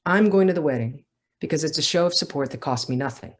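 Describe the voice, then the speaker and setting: Blunt, deadpan jaded young woman read by an author. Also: low-pitched, fast. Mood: dismissive.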